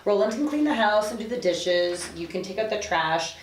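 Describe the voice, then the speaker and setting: A breezy, gossipy neighbour woman played by a woman at a script table read chats in a casual way. Her voice sits at an ordinary pitch, picked up from a distance in a roomy, echoing space. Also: lively intonation, normal speed, a little hiss or hum, natural voice. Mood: breezy, offhand. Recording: roomy echo, distant microphone.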